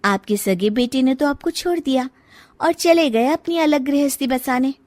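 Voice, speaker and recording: A woman's voice: high voice, normal speed, darkish tone, no echo, voice pushed up, close to an everyday voice. A woman voicing a caring but blunt young daughter-in-law in a dramatised moral story, earnest and coaxing. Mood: taunting.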